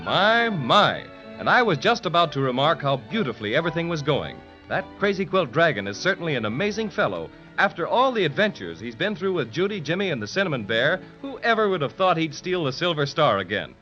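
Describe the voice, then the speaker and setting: An actor as a folksy announcer narrating a children's story in 1930s radio style. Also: male voice, fairly high pitch, big pitch swings, brisk, few pauses, pushed and bright. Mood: concerned, dramatic. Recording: dull 1930s radio recording, faint music.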